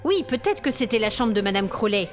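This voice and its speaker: A woman's voice: on the high side, sing-song, a little fast, faint music behind. A woman playing an exasperated, haughty hotel guest with complaints, in an acted audio fiction monologue. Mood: indignant, demanding. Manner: haughty, aggrieved, demanding. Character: indignant, exasperated female hotel guest complaining about ghosts.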